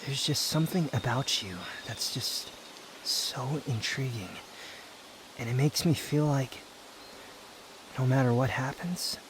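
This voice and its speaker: Slow-talking aloof young wanderer, acted by a man. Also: mid-range voice, noisy background, close to an everyday voice. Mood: reluctantly tender. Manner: slow, brooding, haughty delivery with sighs and pauses. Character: aloof, proud young male wanderer, guarded yet teasing and secretly fond. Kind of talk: intimate roleplay ASMR audio drama. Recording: outdoor nature ambience with insects. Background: insect and nature ambience.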